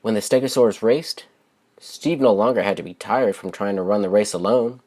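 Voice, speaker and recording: A man reading a children's story aloud as its author, with simple, deliberate phrasing and expressive stresses. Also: on the low side.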